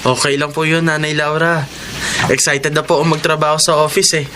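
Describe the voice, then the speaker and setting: An actor playing a humble, eager young graduate, earnest and respectful, in a Filipino radio drama. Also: male voice, on the high side, flat delivery, brisk, noisy background, lightly acted. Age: young adult.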